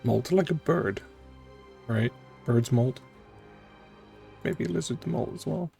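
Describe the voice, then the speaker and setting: Guy streamer w medium creaky voice, muttering questions over a game, faint music. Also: mid-range voice, takes it slowly, dark tone. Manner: musing, questioning asides. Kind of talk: casual reaction commentary on a game.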